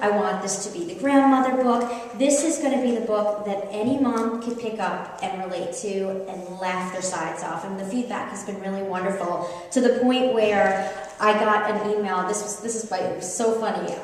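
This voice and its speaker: The recording is very reverberant, with a long hall tail and the microphone set well away from the speaker. A middle-aged woman with an American accent gives a live reading as an author. Her delivery is conversational and expressive, in the style of humorous storytelling, at a medium pitch. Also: normal speed, a little hiss or hum. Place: reading event in an echoey room. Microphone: distant microphone. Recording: very echoey room, distant microphone.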